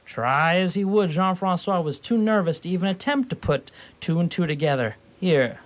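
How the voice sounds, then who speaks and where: A man narrating a scripted radio play as a theatrical, deliberate storyteller. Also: high-pitched, expressive.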